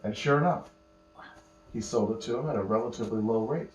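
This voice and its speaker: A man telling an improvised bedtime story to children, patiently explaining words and pausing mid-phrase as he goes. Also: fairly low pitch, faint music behind. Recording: roomy echo, faint electrical hum.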